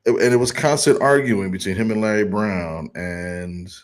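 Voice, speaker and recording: A man co-hosting a casual sports podcast, thoughtful and halting, with many pauses and restarts. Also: on the low side. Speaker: podcast co-host.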